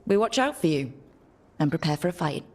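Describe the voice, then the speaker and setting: A woman playing a steady, supportive hero and party leader in a fantasy game, speaking calmly and reassuringly. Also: low-pitched, brisk. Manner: calm, reassuring.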